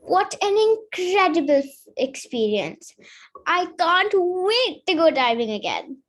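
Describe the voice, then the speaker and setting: A woman's voice: extremely high pitch, sing-song, slow pace. A woman reading a children's picture book aloud, carefully, with the odd stumble over a word. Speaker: story reader.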